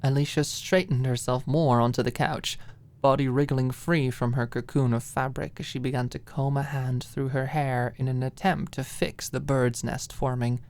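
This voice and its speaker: A woman narrating scripted fiction as an ASMR audiobook, with expressive, dramatic storytelling. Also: very deep voice, moderate pace.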